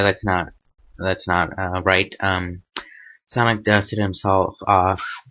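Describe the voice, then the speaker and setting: A man's voice: on the low side, sharp tone, dull audio. A man giving an informal spoken review as a host, rambling and hesitant as he thinks aloud. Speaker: host of a fanfiction reading series.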